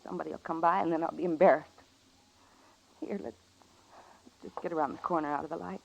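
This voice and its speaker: A desperate American woman of the Old West in a radio western. Her voice is fairly low and full of creak. She pleads with raw emotion. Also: fairly even intonation, quick pace, low-quality recording. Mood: apologetic, pleading. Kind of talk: 1950s radio western acting.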